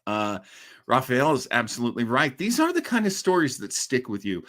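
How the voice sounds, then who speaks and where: A man hosting a livestream on classic radio horror, chatting casually and reflectively with listeners, with frequent pauses as he thinks. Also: medium pitch, a bit bright. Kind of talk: casual live commentary and chat with listeners.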